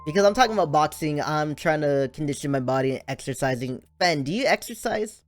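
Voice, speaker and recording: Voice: mid-range voice, animated, a little fast, no room sound, soft background music. A stream host making casual chat, chatty and giggly, asking teasing questions.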